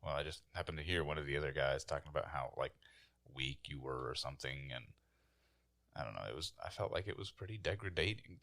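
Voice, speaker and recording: A man's voice: a bass voice, a little fast, a bit bright. A man casually improvising as a tabletop roleplay player, with a dry, joking, informal manner.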